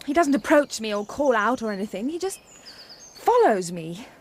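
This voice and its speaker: A woman's voice: on the high side, big pitch swings, normal speed, slight background noise. An actress playing a spirited, determined young woman who teaches music, in a radio drama. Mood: indignant.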